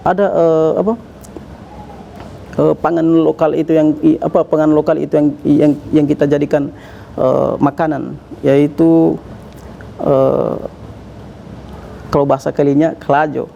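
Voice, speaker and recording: A man's voice: fairly high pitch, little pitch movement, gravelly, dark tone. A man with an Indonesian accent from Central Sulawesi, answering as an interview guest, hesitant and often searching for words. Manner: halting, hesitant, word-searching. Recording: dull muffled sound, background noise.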